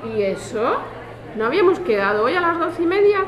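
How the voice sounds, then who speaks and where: An actress as blunt, highish-voiced Spanish woman. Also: sing-song, brisk, highs cut off, a faint crowd. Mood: indignant. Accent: Spanish, from Spain. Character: indignant, distrustful woman activist, blunt and colloquial. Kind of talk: naturalistic audio drama dialogue.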